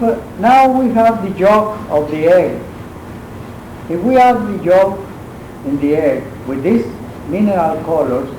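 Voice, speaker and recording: A man with a Mexican accent, Spanish his first language, lectures on painting in English at a very slow, halting pace, pausing often to find his words. His voice sits a little high. The recording is echoey, with clear background noise from a university lecture room. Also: warm tone. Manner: halting, searching for English words with many pauses. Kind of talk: art lecture.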